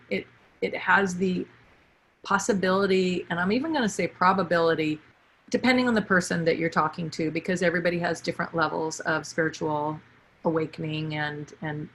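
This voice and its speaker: A woman's voice: fairly low pitch, a bit bright, rough recording. A woman guest in a podcast chat, speaking earnestly and encouragingly. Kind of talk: casual heartfelt chat.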